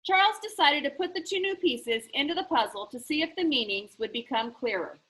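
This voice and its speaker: A woman reading a children's novel aloud in an expressive, animated storybook style. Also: high voice, brisk.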